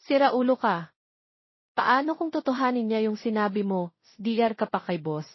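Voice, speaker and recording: A woman's voice: a little high, moderate pace, no echo, muffled recording, close to an everyday voice. A woman voicing a nervous, scolding male bodyguard sidekick in an audio story.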